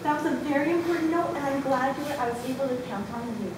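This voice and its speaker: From a distance in a very echoey room comes a high, brisk schoolteacher, acted by a woman. She is exasperated and firm, as in a staged school story, with clear noise rumbling behind her. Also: lightly acted. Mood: pleased, brisk. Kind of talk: readers theatre school story performance.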